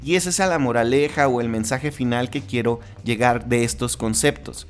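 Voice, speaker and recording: A man's voice: medium pitch, moderate pace, soft background music. A man with a Mexican accent hosting a solo podcast in a casual, conversational way, with frequent restarts and tag questions. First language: Spanish. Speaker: podcast host.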